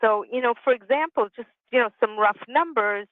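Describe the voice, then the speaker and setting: A woman's voice: on the high side, fairly quick, no room sound, phone-quality sound. A woman in her sixties giving an explanatory, partly conversational presentation over a telephone conference line. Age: 60s. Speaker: business consultant and presenter. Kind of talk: prepared presentation with conversational asides.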